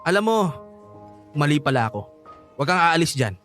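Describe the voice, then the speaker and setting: Hot-headed, scornful son for radio drama, Filipino, higher-pitched voice, sarcastic and taunting, light music underneath. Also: male voice, very animated, brisk, fairly bright tone. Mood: bitter, taunting. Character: bitter, hot-headed grown son scorning his father.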